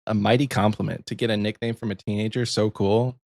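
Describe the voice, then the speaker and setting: A man's voice: on the low side, steady tone, fast talker, gravelly, a little mellow, dry recording. Easygoing man in his thirties. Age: 30s. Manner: easygoing, laughs often. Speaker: podcast co-host. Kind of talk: casual chat.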